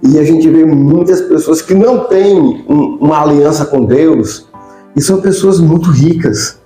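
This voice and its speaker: A man's voice: fairly high pitch, unhurried, mellow. A Brazilian man hosting a conversational religious interview, agreeable and often seeking his guest's agreement. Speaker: interview host, bishop. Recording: echoey room, faint music.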